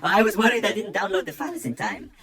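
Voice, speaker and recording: A woman playing an excitable, boastful supercomputer robot in a radio play, heard from off-mic amid clamour. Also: mid-range voice, fast, recorded from a distance, close to an everyday voice. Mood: excited, flustered.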